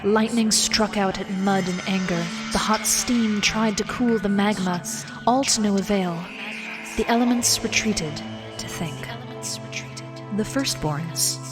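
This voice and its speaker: A woman with a mid-pitched voice narrates an old legend in storybook style. Music runs clearly behind her. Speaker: narrator of an ancient myth. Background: prominent background music.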